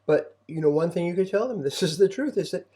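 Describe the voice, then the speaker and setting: Mellow middle-aged man, reflective talker. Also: high-pitched, expressive. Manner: reflective, halting with repeated phrases. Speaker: homeschooling parent and co-host. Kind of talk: conversational parenting talk.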